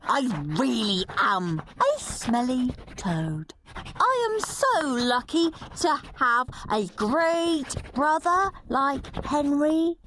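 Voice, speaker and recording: A woman acts out a naughty British boy in a children's audiobook, gleefully sending up his goody-goody brother. The voice is fairly high and swoops about. Words are dragged out very slowly to mock, over faint noise. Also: sing-song, a bit bright, exaggerated voice. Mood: mischievous. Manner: mocking, drawn-out, gleeful. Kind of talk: children's audiobook character reading.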